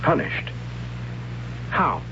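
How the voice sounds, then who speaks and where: An actor playing a questioning young man, a priest's son, with an intense, dramatic delivery in 1970s radio drama style. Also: extremely slow. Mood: urgent questioning. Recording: dull, noisy 1970s radio recording.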